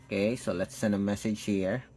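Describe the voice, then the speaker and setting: A man's voice: lowish voice, steady tone, normal speed, darkish tone, a little hiss or hum. A man giving an unscripted, step-by-step hands-on product demo, thinking aloud as he tests. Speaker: tech product reviewer.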